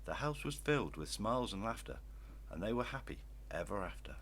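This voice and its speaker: An older man reading rhyming children's stories aloud in an expressive, theatrical style. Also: fairly low pitch, brisk, a little hiss or hum. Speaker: children's story reader.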